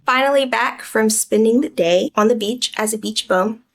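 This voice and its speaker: A woman's voice: a little high, moderate pace. Chatty American woman vlogging casually. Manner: informal, chatty. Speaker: book vlogger. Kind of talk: casual vlog chat.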